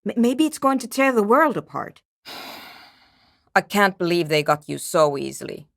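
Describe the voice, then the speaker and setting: A woman's voice: medium pitch, very animated, measured pace, gravelly, a bit bright, no room sound, clean studio sound, heavily acted. A woman playing a guarded, impatient and anxious programmer in a video game, with a terse, precise and matter-of-fact way of talking. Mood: scared. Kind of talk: scripted game character dialogue.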